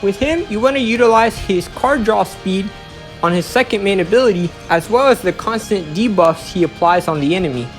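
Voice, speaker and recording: A woman's voice: mid-range voice, expressive, a little fast. A woman giving an instructional, step-by-step voice-over as the narrator of a gameplay guide. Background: music.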